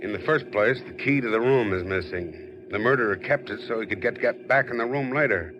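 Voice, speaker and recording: An actor playing a shrewd, cool-headed private detective in a clipped, matter-of-fact manner, in old-time radio drama style. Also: male voice, medium pitch, a little fast, lightly acted. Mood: confident, explaining. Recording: muffled old radio recording with faint hiss.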